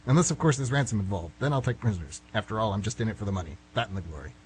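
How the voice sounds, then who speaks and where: A man's voice: lowish voice, lively intonation, racing, a little hiss or hum, natural voice, rough recording. A man playing an eager, naive young student who rambles earnestly about his dreams in an amateur comedic radio play. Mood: eager, excited.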